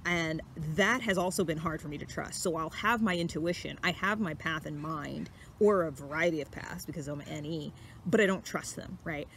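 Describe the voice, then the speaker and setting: A woman's voice: sits low, moderate pace. A young adult woman talking casually and reflectively to camera as a vlog host, explaining her thoughts in a conversational way. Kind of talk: casual talk to camera about personality types. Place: outdoors in woods. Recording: clear steady outdoor background noise.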